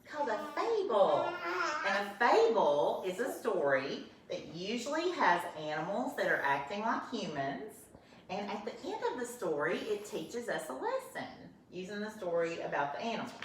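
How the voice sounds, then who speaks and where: An older woman, a first grade teacher, reading a storybook aloud to children with expressive asides, filmed at home on a phone. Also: medium pitch, moderate pace, slight background noise. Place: home, with a small grandchild nearby. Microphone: phone camera held by her son. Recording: echoey room, voice from a distance.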